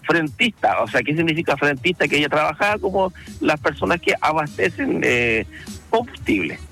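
A man with a Chilean accent, a truck driver telling his story in a relaxed interview, pausing often with fillers. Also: a little high, breathy edge, faint music behind. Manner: hesitant, many fillers, storytelling. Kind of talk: casual phone-in interview.

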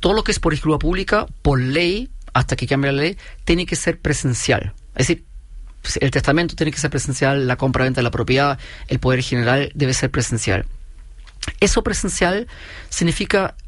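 A man in his forties with a German-Chilean accent, a company founder calmly explaining his business point by point in an interview. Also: mid-range voice, moderate pace, no room sound. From Chile, raised in Germany. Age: 40s. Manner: steady, methodical, explains point by point.

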